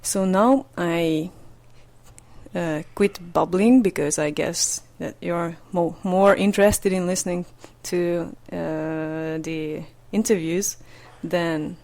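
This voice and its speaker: A man's voice: high voice, fairly even intonation, slow pace, creaky, a little mellow. A man with a Hungarian accent hosting a podcast interview, speaking hesitantly with many fillers. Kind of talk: casual interview. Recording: reverberant room with faint noise.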